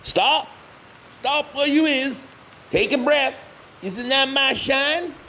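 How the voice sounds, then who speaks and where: A man's voice: very high voice, takes it slowly, pushed and bright, a little hiss or hum, full character voice. A man playing a cantankerous, bossy hillbilly moonshiner in a comedy audio drama, with a folksy, blustering, insulting manner. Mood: shouting, indignant.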